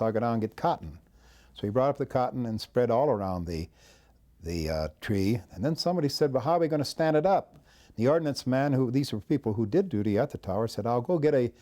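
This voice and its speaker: An elderly American man with a soft, mellow voice recounts his wartime service. He speaks plainly and in a measured way, like a veteran going through old memories and photographs. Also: medium pitch. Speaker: war veteran, former air traffic controller.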